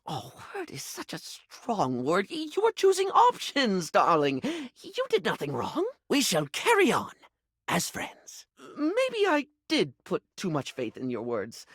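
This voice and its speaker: A fabulous, theatrical male video game object brought to life. His light, high voice swings dramatically up and down as he gushes and rambles, affectionate yet insecure. The sound is close and dry. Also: fairly bright tone, dry recording, full character voice. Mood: awkward, polite. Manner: theatrical, gushing, rambling, camp endearments. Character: flamboyant, chatty, insecure male living toiletry object, scatterbrained and affectionate. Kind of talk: video game character acting.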